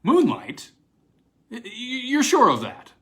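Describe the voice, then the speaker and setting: A man reads the part of an anxious, excitable university don from a storybook. He stammers with eagerness, his pitch jumping high and swinging about. The tone is bright and tense. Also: slow pace, full character voice. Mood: agitated.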